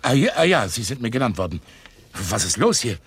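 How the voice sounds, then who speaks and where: An actor playing a hurried, trusting gentleman roused from bed, in a radio crime comedy. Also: mid-range voice, sing-song, a little hiss or hum. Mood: flustered, compliant. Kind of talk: 1970s German radio crime comedy acting.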